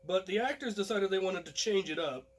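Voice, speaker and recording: A man telling a personal anecdote casually, in an animated storyteller's way. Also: high voice, fairly quick. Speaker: storyteller, host of a story series.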